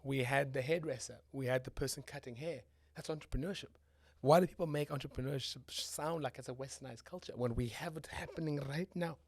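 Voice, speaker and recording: A man with a South African accent hosting a casual interview, playful and quick to joke. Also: medium pitch, breathy edge, dry recording, clean studio sound. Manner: playful, joking, laughs often. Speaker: host.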